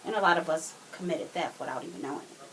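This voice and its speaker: A woman's voice: low-pitched, sing-song, fast, fairly bright tone, low-quality recording. A woman giving her opinions casually as a podcast guest, on a hissy home recording.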